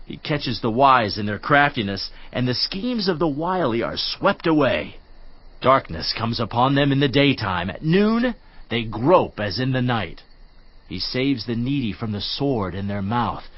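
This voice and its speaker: A solemn friend who counsels with a declamatory, preaching cadence in a dramatized audio Bible. His pitch swings widely from phrase to phrase. The recording sounds slightly dull. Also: very animated, measured pace. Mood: admonishing.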